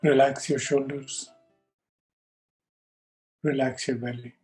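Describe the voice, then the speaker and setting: A male yoga teacher leads a guided meditation in a medium-pitched, level voice. He speaks calmly in short, measured phrases and leaves space between instructions. The delivery stays even, with little melodic movement. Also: faint music behind.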